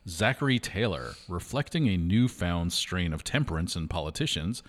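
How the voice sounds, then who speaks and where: A man's voice: low voice, expressive, normal speed. A man co-hosting a casual talk show, reading trivia aloud and riffing on it with chatty asides. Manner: chatty, reads aloud then riffs with asides. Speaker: podcast co-host.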